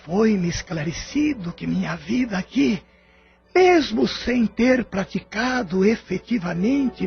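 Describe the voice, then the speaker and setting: A Brazilian man playing the solemn, loving spirit of a dead father in an audio drama, speaking formally and with measured care. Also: high-pitched, gravelly, slightly rough, exaggerated voice. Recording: dull lo-fi audio with music underneath. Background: music.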